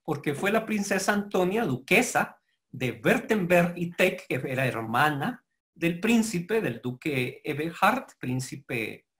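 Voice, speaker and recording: Man giving a measured lecture. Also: fairly high pitch, big pitch swings, fairly bright tone. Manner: measured and explanatory, with pauses and self-corrections. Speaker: guest lecturer. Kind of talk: formal lecture to members.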